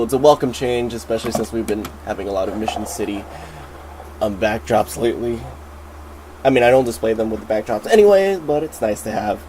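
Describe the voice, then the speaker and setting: A man with a cold and a nasal voice, chatting excitedly through a casual toy review at home. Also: mid-range voice, slight background noise, poor audio. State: sick, nasal.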